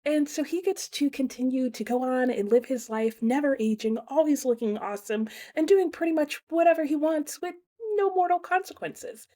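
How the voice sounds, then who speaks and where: Young woman, booktube host, chatty, casual vlog talk, fillers, lively pitch. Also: moderate pace, few pauses.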